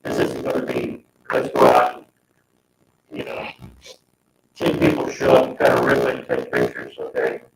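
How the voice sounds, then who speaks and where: Male board member, extremely low monotone, halting delivery, picked up from afar. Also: vocal fry. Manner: halting, repeats phrases as he explains. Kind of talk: informal public meeting discussion. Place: indoor town board meeting room. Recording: echoey, noisy meeting room, distant mic.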